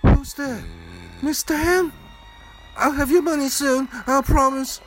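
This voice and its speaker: A man's voice: very high voice, steady tone, a little slow, music in the background. An actor playing a terrified man pleading for his life in a horror radio play. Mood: frightened. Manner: pleading, frightened.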